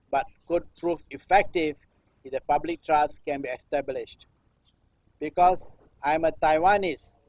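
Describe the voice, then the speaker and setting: A man recites a political text as performance art, in deliberate phrases with repeated, chant-like refrains. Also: fairly high pitch, no echo, rough recording. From Singapore. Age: 60s. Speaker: performance artist.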